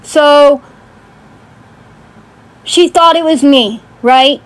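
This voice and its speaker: A woman's voice: sits high, very slow, low-quality recording. A woman ranting casually on a livestream in a blunt, sweary way, often checking in with emphatic tag questions. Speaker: livestream host.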